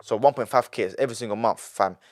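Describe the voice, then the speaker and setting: A man's voice: on the low side, quick pace. A nineteen-year-old man with a London accent, a podcast guest talking in a rambling, emphatic way, with lots of restarts and repetition. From London, England. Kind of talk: casual podcast interview.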